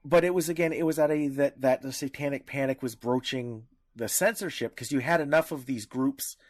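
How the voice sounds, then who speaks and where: Male podcast co-host, mid-pitched, conversational, halting delivery that keeps circling back to rephrase. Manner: halting, searching for words, rephrasing often. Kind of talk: casual discussion.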